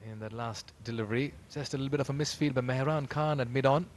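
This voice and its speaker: A man's voice: mid-range voice, quick pace. A man giving live cricket commentary mixed with casual chat. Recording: clear background noise, live ground ambience.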